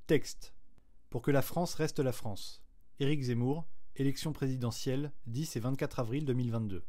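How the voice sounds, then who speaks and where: A man reading a printed document aloud in a measured, declamatory way, pressing harder on key phrases. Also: medium pitch, dark tone. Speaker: reader.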